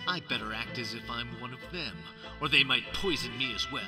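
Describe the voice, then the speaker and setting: An actor playing a pompous, square, chauvinist superhero detective in a parody of an old-time radio serial, exclaiming melodramatically. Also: male voice, mid-range voice, fairly quick, lightly acted. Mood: grave, scheming. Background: music.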